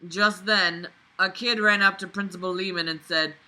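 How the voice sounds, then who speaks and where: A woman's voice: fairly low pitch, fairly even intonation, normal speed, pushed and bright, rough recording. A woman reading a book aloud and breaking in with sarcastic, indignant, sweary commentary. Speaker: vlogger reading and critiquing a novel.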